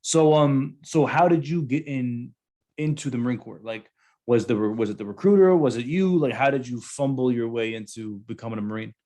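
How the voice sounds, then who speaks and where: A man hosting a podcast, talking relaxed and hesitant, with plenty of ums. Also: mid-range voice, a little fast. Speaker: podcast host. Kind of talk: casual podcast chat.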